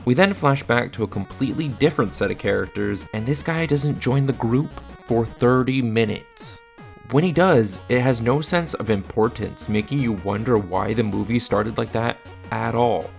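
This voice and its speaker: A man's voice: mid-range voice, normal speed, vocal fry, faint music behind. A man narrating a scripted video review with casual asides, in a blunt, exasperated manner.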